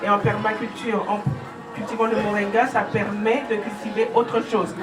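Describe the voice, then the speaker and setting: A woman exhibitor explaining her products at a trade fair stand, with halting starts. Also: medium pitch, little pitch movement, over music. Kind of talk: product presentation. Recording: distant mic in crowded fair.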